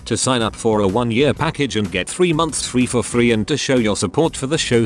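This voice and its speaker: A man reading a scripted sponsor message as an announcer in a deadpan, mock-formal manner. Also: on the low side. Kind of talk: scripted ad read. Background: music.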